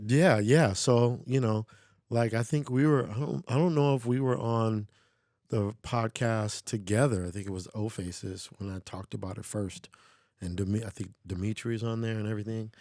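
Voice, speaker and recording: A man's voice: lowish voice, moderate pace, a little mellow, no room sound. Candid American man, close dry sound. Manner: candid, self-reflective. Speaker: podcast guest. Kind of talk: casual personal storytelling.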